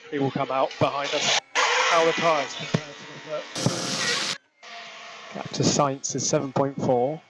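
A man working as a race engineer, giving measured, clipped, often repeated instructions over team radio. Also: medium pitch. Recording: noisy team radio link with clicks.

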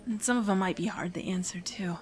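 Woman voicing a nervous young hero trainee, mid-range pitch, stammering, apologetic, anxious rambler, intimate romantic audio roleplay. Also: fairly quick, lightly acted. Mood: nervous, hesitant. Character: shy, nervous teenage hero-in-training confessing a crush.

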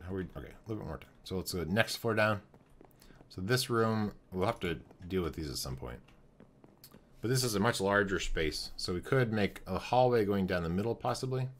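Young male streamer, very low voice turning hoarse, casual think-aloud commentary at a desk mic, light keyboard noise. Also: fairly bright tone. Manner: thinking aloud while building, greeting chat viewers. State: losing his voice. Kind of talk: live gameplay commentary.

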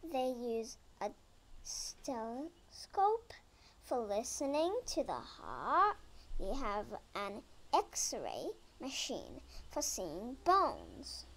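A young girl reads a children's picture book aloud at a very slow, careful pace. Her voice is high and lively in pitch, and she sometimes trips over a word and starts it again. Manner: slow careful reading with some stumbles. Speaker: reader.

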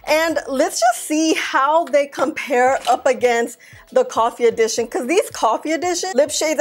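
A woman in her thirties, a beauty vlogger, giving a loud, excitable, chatty makeup review straight to camera at home. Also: high-pitched. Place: indoor filming set with plain backdrop.

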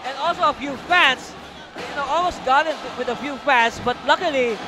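A man calling a live basketball game from courtside, energetic and shouting on the big plays. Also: very high-pitched, normal speed, over music, crowd noise. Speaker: play-by-play commentator. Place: courtside booth in a packed arena. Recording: noisy arena broadcast.

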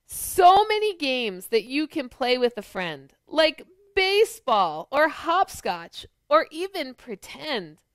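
A middle-aged woman, a children's pastor, hosting an animated storytime for preschoolers and speaking to them with questions. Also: sits high, slow, hardly any pauses, dry recording. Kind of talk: storytime for preschoolers, chat and picture-book reading. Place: small living room at home, on a couch.

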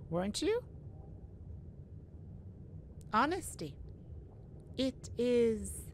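A woman playing a stately, merciful mermaid leader in a fantasy audio roleplay, speaking in a formal, deliberate, regal manner. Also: fairly low pitch, darkish tone, a little hiss or hum, full character voice. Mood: stern, probing.

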